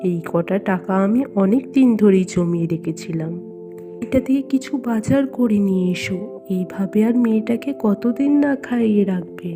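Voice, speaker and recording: A woman's voice: medium pitch, moderate pace, music in the background, lightly acted. A woman voicing a worried poor farmer's wife and mother in a cartoon, plaintive and reproachful. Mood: concerned.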